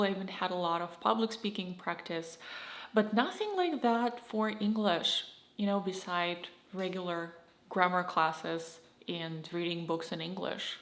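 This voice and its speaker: A woman with a Russian accent talks to camera in a candid, reflective vlog monologue. Her voice sits at an ordinary middle pitch. Her phrasing comes in slightly choppy pieces, and the room gives it a noticeable echo. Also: a bit bright. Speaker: YouTuber. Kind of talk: scripted personal vlog monologue. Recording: roomy echo.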